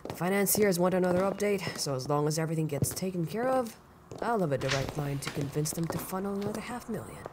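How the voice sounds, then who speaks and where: A man's voice: high-pitched, lively intonation, quick pace, background noise. A man playing a confident, thrill-seeking crime syndicate boss in an audio drama, with a casual but commanding manner. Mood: assured. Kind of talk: audio roleplay drama acting.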